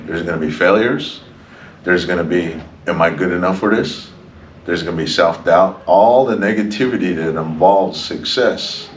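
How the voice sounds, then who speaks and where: Man, very low pitch, from New Mexico, conversational interviewee, echoey room, noisy background. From New Mexico, United States. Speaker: performer being interviewed. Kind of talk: conversational interview, reflective advice. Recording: roomy, distant mic, clear background noise.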